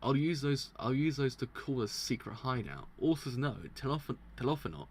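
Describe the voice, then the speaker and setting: A man streaming a live read-through of comics, stumbling over words, restarting lines, and breaking into excited laughter, his throat sounding strained. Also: mid-range voice, moderate pace, breathy edge. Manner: excitable, stumbles and restarts while reading aloud, bursts into laughter. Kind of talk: live reading and reaction.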